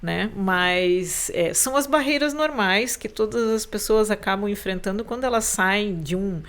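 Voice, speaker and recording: A woman's voice: mid-range voice, normal speed, fairly bright tone. Reflective woman host. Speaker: podcast host. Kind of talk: conversational interview.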